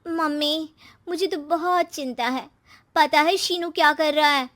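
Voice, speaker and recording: Woman acting a high-voiced scheming young daughter. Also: steady tone, measured pace, a bit bright. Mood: insinuating. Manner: insinuating, complaining. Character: scheming, jealous young daughter of a rich family.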